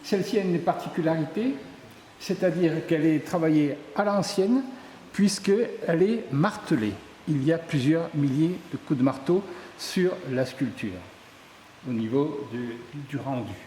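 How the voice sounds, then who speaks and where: A man's voice: on the high side, unhurried, dark tone. A middle-aged man, explaining his work as a sculptor in an interview. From Ardèche, southern France. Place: indoors in an exhibition room at a tourist office. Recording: echoey reverberant hall, faint background noise.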